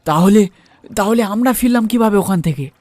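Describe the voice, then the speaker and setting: A man acts out a frightened gentleman passenger in a cartoon horror tale. His voice is raised and high, mellow in tone, stumbling over indignant, demanding questions. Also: lively intonation, moderate pace. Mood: alarmed, questioning. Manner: agitated, stammering repeated questions. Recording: music under the voice.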